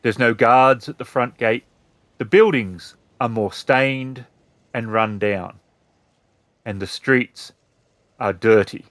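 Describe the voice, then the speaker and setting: A man narrating a tabletop roleplaying game as storyteller, describing scenes in measured, deliberate phrases. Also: mid-range voice, fairly bright tone, no room sound.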